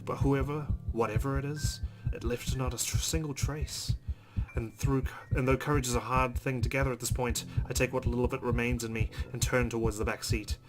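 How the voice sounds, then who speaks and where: A man's voice: medium pitch, steady tone, a little fast, over music, close to an everyday voice. A man reading aloud the part of a shaken, exhausted young woman driver in a horror visual novel, narrating dramatically. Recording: noisy home recording.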